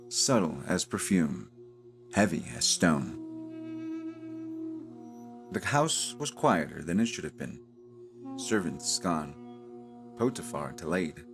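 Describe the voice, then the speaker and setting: A man narrating a cinematic audio drama in a solemn, dramatic manner with deliberate pauses. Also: deep voice. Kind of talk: slow cinematic scripted narration.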